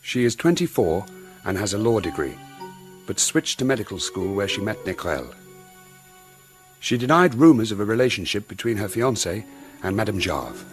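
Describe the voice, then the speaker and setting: A man playing a sober reporter, giving a measured, matter-of-fact account in a radio drama. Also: fairly low pitch, big pitch swings, faint music behind. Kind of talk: scripted news-report narration in radio drama.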